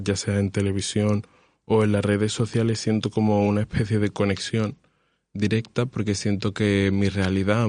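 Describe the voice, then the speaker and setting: A man in his twenties with a low, even voice talks in a measured, careful way, pausing with fillers as he builds each point. The sound is dry and close, with no room around it. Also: no echo. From Spain. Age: 20s. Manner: measured, thoughtful, hesitant fillers. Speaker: panelist. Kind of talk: podcast discussion.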